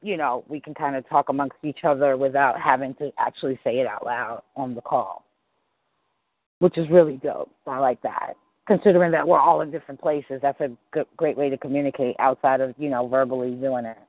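A husky host chats loosely and excitably, full of fillers and swearing. The sound is thin and phone-like, with no room around it. The voice sits at a middle pitch. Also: fairly quick, dry recording. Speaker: podcast host. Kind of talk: casual call chat. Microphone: call audio. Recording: tinny phone-like call audio, dry.

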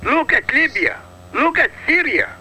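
Talk radio regular, male, from Iraq; high, swooping, gravelly delivery, combative and punchy. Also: very animated, unhurried, crowd noise, low-quality recording. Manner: emphatic, combative, punchy short sentences. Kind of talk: heated political talk radio.

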